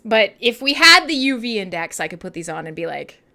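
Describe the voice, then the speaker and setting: A Canadian woman hosting a live radio show with casual, irreverent chat, animated and slangy with some swearing. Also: medium pitch, a little fast, gravelly.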